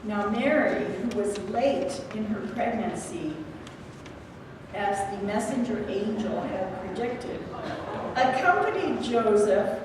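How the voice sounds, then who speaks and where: A woman's voice: mid-range voice, expressive, slow. A woman reading scripted scripture narration in a church dramatization. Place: large church interior. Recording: echoey church hall, distant, noisy crowd.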